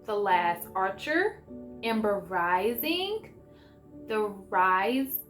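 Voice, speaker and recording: A woman with a fairly high voice speaks at a slow, unhurried pace over soft music. She comes across as an enthusiastic homeschool mom, moving between casual vlog chat and reading aloud. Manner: enthusiastic, chatty. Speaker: homeschool mom sharing book picks.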